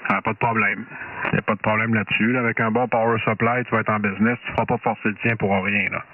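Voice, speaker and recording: A man's voice: lowish voice, little pitch movement, quick pace. A man with a Quebec French accent talking casually and colloquially about technical matters as an amateur radio operator, heard over a radio transmission. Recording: tinny narrow-band ham radio transmission.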